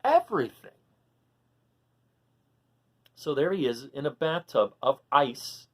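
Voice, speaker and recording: A woman reading a picture book aloud for children, animated and often stopping to ask the listener questions. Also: a bass voice, slow. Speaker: storybook reader for children.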